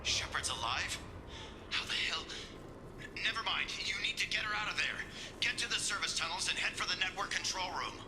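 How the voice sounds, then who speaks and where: A high-pitched, anxious man calls urgently over a radio in a sci-fi game. Clear music plays behind the filtered voice. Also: animated, fairly quick, low-quality recording. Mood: urgent, startled. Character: anxious male technician calling for survivors by radio. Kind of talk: video game dialogue.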